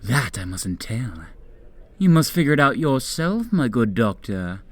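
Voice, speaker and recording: An actor playing an unhinged male asylum patient who eats flies, with a husky voice, taunting slowly and lurching between shouts and whispers. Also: medium pitch, very animated, no echo. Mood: sly, menacing. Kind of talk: audio drama acting.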